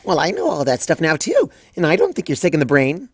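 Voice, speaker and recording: A woman voicing a hyper, bubbly pony mare who chirps and rambles quickly. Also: low-pitched, huge pitch swings, husky, no echo, pitched above the performer's own voice, exaggerated voice. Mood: chirpy.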